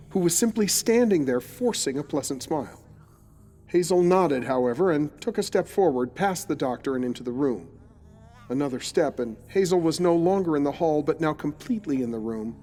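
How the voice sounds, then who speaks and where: A man delivers scripted narration for a horror audio drama. His voice is on the higher side, and he tells the story in a dramatic, suspenseful way. Quiet music sits underneath.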